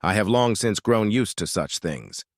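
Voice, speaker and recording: Man voicing a solemn, bookish baron, lowish voice. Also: expressive, no room sound, studio quality. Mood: quiet, forgiving. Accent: British. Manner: quiet, measured, wry. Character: reclusive baron, solemn, bookish, resigned, gentle.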